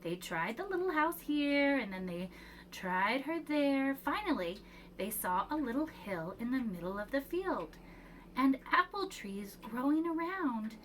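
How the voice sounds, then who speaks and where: A woman reading a children's picture book aloud as a preschool storyteller, with expressive, measured delivery and emphatic pauses. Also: sits high, slight background noise, low-quality recording.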